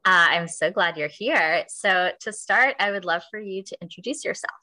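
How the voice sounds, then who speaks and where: Woman podcast host, measured and clear. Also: fairly low pitch, pushed and bright. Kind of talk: scripted podcast intro, then interviewing.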